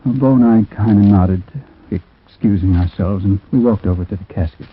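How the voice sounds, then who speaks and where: A man's voice: on the low side, normal speed, slightly rough. An actor playing a troubled man who narrates an eerie story in 1940s radio drama style, reflective and conversational with hesitant asides. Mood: haunted, subdued. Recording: tinny, muffled 1940s radio recording.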